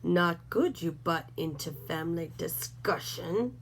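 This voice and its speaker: A sullen male coyote, played by a woman reader, growls out short broken phrases very slowly. His voice drops lower than the other animals. A storybook heavy, thick-headed and grumpy. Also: lively intonation, creaky, a little hiss or hum. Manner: slow, clipped broken grammar. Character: gruff male coyote, dim, broken speech.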